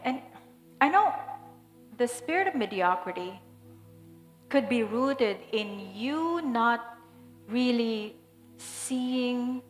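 Fervent woman preaching in an echoey hall. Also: on the high side. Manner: fervent, declaring prayer then softer guiding. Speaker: pastor. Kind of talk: sermon prayer. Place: large church stage. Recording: echoey hall with soft music.

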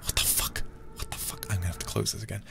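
A man streaming a horror game in a soft, intimate ASMR style close to the microphone, muttering and sometimes whispering. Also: lowish voice, little pitch movement, fast, creaky, music in the background. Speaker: livestreamer playing a horror game.

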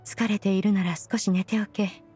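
An actress playing a caring, authoritative immortal woman in a game, calm and husky, with lofty archaic speech. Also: medium pitch. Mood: calm, kind. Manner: calm, measured, old-fashioned lofty speech. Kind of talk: Japanese game voice acting. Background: faint music.

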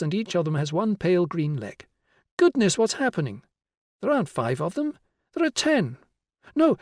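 A man voicing a kindly woman teacher in an audiobook, coaxing and instructing children with deliberate phrasing. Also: sits high, dry recording, studio quality, pitched above the performer's own voice, full character voice. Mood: playfully excited. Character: Susila, tall kindly woman teacher guiding children's pretend games. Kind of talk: audiobook character voice.

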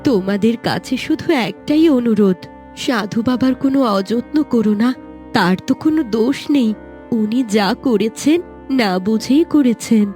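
A woman voicing a gentle, selfless young village girl in a fairy-tale cartoon, meek and earnest. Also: on the high side, animated, moderate pace, faint music behind, natural voice. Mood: earnest pleading.

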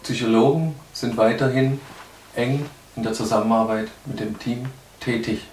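A man's voice: mid-range voice, steady tone, moderate pace, a little mellow. A man in his thirties giving a prepared news update to camera as a charity spokesman. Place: small indoor room. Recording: echoey room, distant microphone.

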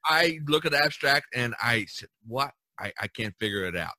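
A man's voice: mid-range voice, lively intonation, normal speed, bright, forceful tone, no room sound. A man hosting a podcast in casual, rambling, chatty conversation with artist friends. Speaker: podcast host. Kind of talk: casual chat among artists.

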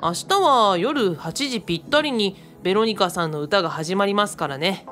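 Woman acting Kaoru, polite lively young assistant. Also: medium pitch, normal speed, natural voice. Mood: polite, cheerful. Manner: courteous, animated. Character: Kaoru, polite, bashful, level-headed young female assistant. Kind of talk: radio drama acting.

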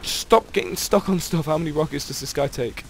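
A young man with a croaky voice casually commenting on his gameplay, excitable and swearing. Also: fairly high pitch, a little fast, slight background noise. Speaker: let's play gamer.

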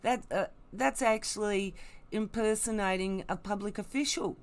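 A woman's voice: mid-range voice, moderate pace. Blunt woman commentator. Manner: blunt, emphatic, mocking. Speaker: online commentator. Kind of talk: opinionated unscripted commentary.

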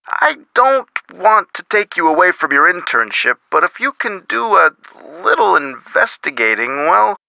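An actor playing a genial, avuncular middle-aged lawyer in a video game, talking in a chummy, theatrical way with folksy turns of phrase. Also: male voice, sits high, vocal fry, pushed and bright, no echo, exaggerated voice, low-quality recording. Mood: worried.

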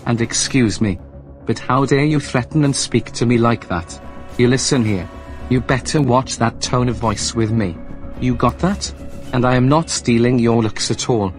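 A man voicing a polite, apologetic young man who is quick to get indignant, in an amateur voice drama, with music behind. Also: mid-range voice, close to an everyday voice. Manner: earnest, apologetic, later indignant.

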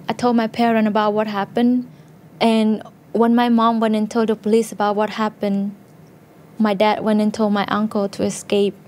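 A woman speaks softly and plainly, her pitch staying very level throughout. She is recorded on a studio interview set with a clear mic while music runs behind her. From Cambodia. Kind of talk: personal testimony. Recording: music underneath.

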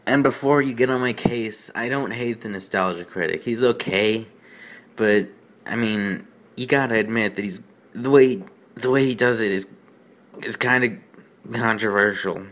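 A man's voice: lowish voice, moderate pace, a bit bright. A man hosting a reading show with sarcastic, rambling commentary in an exasperated, self-deprecating manner. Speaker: host of a bad fan fiction reading show.

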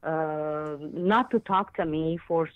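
A woman's voice: sits low, slow pace, no echo. A woman in her fifties from Lebanon calling in to a show to share a personal account, hesitant and often searching for words. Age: 50s. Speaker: phone-in caller. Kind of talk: personal account on a radio call-in. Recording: tinny narrow phone line.

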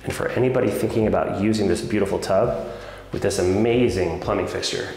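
A man's voice: on the low side, a little fast, music in the background. A man in his thirties giving an upbeat walkthrough tour of a large empty house as a real estate agent, pointing out features as he walks. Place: empty large house, echoey tiled rooms and basement. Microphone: handheld camera, small clip-on mic. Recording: roomy echo from bare rooms.

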